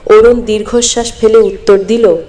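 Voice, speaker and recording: A woman's voice: fairly high pitch, flat delivery, normal speed, warm tone. A woman narrating a scripted moral story in an earnest, dramatic storytelling manner. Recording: long hall-like reverb.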